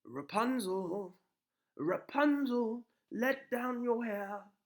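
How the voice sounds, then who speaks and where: A man's voice: very high voice, lively intonation, drawn-out words, voice pushed up, heavily acted. A man voicing a boastful, cheeky young prince in a bedtime story, dramatic and exclamatory. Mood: calling out.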